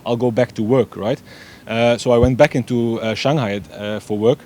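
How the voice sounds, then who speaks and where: A man's voice: medium pitch, fairly even intonation, normal speed, darkish tone. A young man from Belgium, an eager race director chatting as an interview guest. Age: young adult. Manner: eager, repeats "yeah" often, loose non-native grammar. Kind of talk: casual interview chat.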